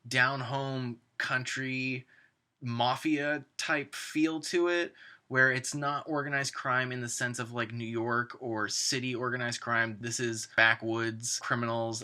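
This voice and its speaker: A man's voice: medium pitch, steady tone, a little slow, sharp tone. A man giving an informal spoken review of a script, talking in an emphatic, conversational way.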